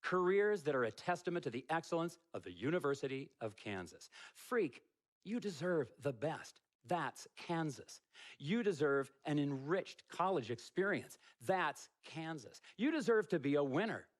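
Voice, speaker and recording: A college basketball recruiter in a story-driven sports game. He delivers a rehearsed, persuasive pitch. His voice sits a little high and rises and falls freely.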